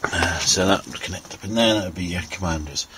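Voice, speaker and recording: A man giving an informal hands-on technical walkthrough, unscripted and halting as he thinks aloud. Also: sits low. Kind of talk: informal hands-on show-and-tell narration. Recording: clearly noisy handheld amateur recording.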